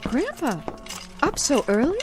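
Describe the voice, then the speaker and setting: High-voiced, caring young granddaughter. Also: female voice, sing-song, unhurried, over music. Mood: concerned. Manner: gently firm, concerned. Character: caring, protective, worried granddaughter expecting a baby. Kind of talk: television drama acting.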